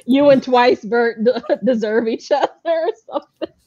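A woman's voice: high-pitched, measured pace, no room sound. A young adult woman chatting casually and expressively as a panelist in an online book discussion. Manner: informal, expressive. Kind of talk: casual chat. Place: indoor home room on a video call. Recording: slightly dull video-call audio.

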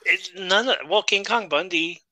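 A man's voice: sits high, normal speed, sharp tone, poor audio. A man co-hosting a casual wrestling podcast, chatty and joking as he reads through his notes. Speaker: podcast co-host. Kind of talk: casual wrestling review chat.

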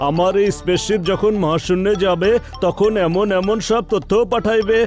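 A man voicing an excitable, sentimental eccentric inventor in a cartoon, with flowery old-fashioned phrasing. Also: high voice, moderate pace, faint music behind, close to an everyday voice. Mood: proud. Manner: old-fashioned formal verbs, repeats endearing catchphrase. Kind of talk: cartoon dub acting.

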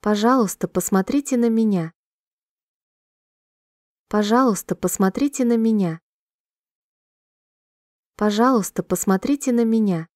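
A woman reading short sentences slowly and clearly for a language-listening drill, repeating each one in a measured, even way. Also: mid-range voice, no echo, studio quality. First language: Russian. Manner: clear, measured, evenly paced repetition. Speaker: Russian sentence reader.

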